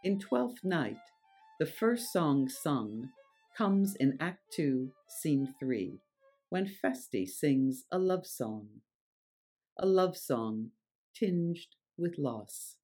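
A presenter giving scripted narration and reciting verse in a measured, deliberate, theatrical way. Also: mid-range voice, very animated, warm tone, soft background music. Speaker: presenter and reader.

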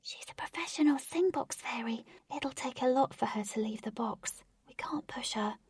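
A woman's voice: sits high, moderate pace, darkish tone, husky, recorded from a distance, voice pushed up. A woman voicing a little fairy who whispers secretly into a girl's ear. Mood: confiding. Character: small whispering fairy companion.

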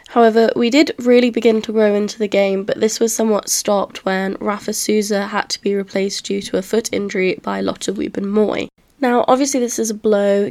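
A woman's voice: mid-range voice, a little mellow. A woman with a nasal, congested voice from a cold, hosting a solo football podcast with a semi-scripted match recap. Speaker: podcast host.

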